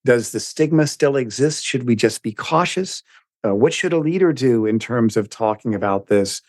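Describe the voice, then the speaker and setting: A man's voice: mid-range voice, animated, moderate pace, a little mellow, no room sound. A man in his fifties with a mid-range voice hosts an interview. He is friendly and offers short nods of agreement before asking his questions. The sound is dry and close to the mic. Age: 50s. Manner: friendly, brief affirming replies, self-deprecating. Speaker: podcast host. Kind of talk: casual interview chat.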